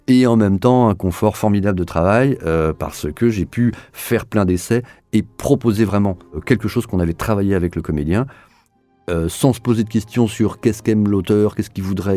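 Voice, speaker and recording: Low, mellow-voiced man explaining his work. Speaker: audio series director being interviewed. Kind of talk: interview talk, explaining own work.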